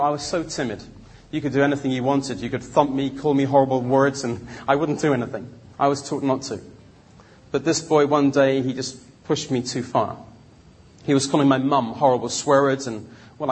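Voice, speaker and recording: Husky Londoner telling his life story, a man. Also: medium pitch. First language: Cantonese. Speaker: speaker giving personal testimony. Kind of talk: autobiographical storytelling to an audience.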